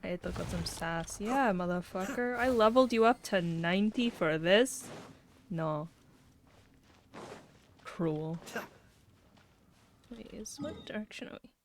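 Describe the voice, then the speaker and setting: A woman's voice: mid-range voice, animated, takes it slowly, long pauses, a little hiss or hum. A woman livestreaming a video game with casual, playful, reactive commentary, swearing freely and muttering asides. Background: faint game music.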